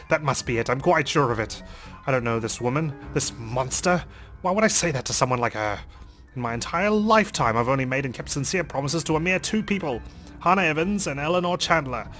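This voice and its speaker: Male let's play reader, mid-pitched, rapid, theatrical storytelling with faint game music behind. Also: expressive, a bit bright. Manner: theatrical, reading in character. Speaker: let's play host reading game text. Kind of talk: dramatic reading of visual novel narration.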